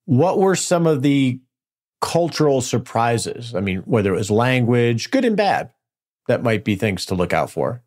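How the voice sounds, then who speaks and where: A man's voice: medium pitch, very animated, measured pace, a little mellow. An American man hosting a podcast, asking questions in a conversational interview style. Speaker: podcast host interviewing guests.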